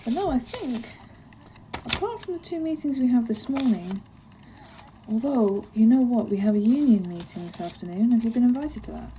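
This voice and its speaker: A woman's voice: on the high side, lively intonation, normal speed, a little hiss or hum, natural voice, poor audio. A woman playing a friendly, chatty giantess office worker in an ASMR roleplay, caring and a little hesitant as she talks to a tiny colleague. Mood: chatty, relaxed. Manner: bubbly, caring, apologetic, hesitant with soft laughs. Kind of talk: ASMR roleplay, one-sided conversation.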